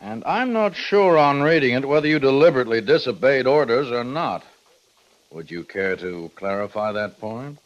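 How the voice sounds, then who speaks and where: A dull-sounding vintage radio western features a man playing an American army major. His medium voice swings in pitch as he speaks formally and a little awkwardly, with hesitations between official phrases. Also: animated, moderate pace, natural voice. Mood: stiff, uneasy. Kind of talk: 1950s radio western acting. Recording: dull 1950s radio recording.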